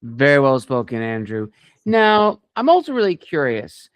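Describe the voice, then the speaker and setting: A man hosting an interview, framing his questions in a polite, deliberate and wordy way. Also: mid-range voice, big pitch swings, clear, resonant tone, dry recording. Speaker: interviewer, show host.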